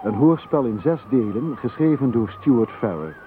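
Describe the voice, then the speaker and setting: A man's voice: mid-range voice, expressive, normal speed, a little hiss or hum. Een man die op formele toon een hoorspel aankondigt. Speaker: announcer. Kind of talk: slow formal radio announcement. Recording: lo-fi radio broadcast with music behind.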